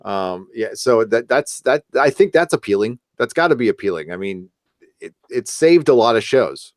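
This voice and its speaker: A man's voice: fairly low pitch, very animated, normal speed, no room sound, studio quality. A man hosting a live podcast in casual chat, rambling and joking, with some swearing. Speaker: podcast host.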